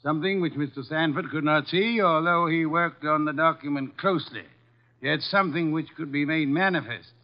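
An actor playing a fat, shrewd, commanding middle-aged detective with formal, precise diction in a 1950s radio drama. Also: male voice, on the high side, normal speed, lightly acted. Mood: imperious, measured. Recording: dull, lo-fi vintage radio recording.

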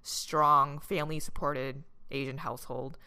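Young podcast co-host, deep-pitched but bright-toned, animated and chatty. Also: female voice, measured pace, clean tone. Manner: animated storytelling, frequent "like". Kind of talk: casual chat.

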